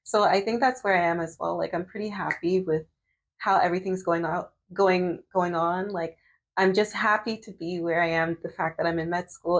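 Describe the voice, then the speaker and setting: A woman's voice: on the low side, low-quality recording. A woman in her thirties vlogging while doing her hair, chatting casually with lots of fillers and restarts. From Canada. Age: 30s. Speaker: medical student and vlogger.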